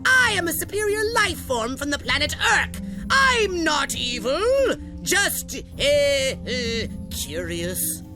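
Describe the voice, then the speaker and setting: A high, arrogant cartoon alien. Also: male voice, big pitch swings, takes it slowly, hardly any pauses, exaggerated voice. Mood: haughty. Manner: haughty, theatrical. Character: arrogant, ranting male alien invader. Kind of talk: animated game cutscene acting. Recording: game music behind the voice.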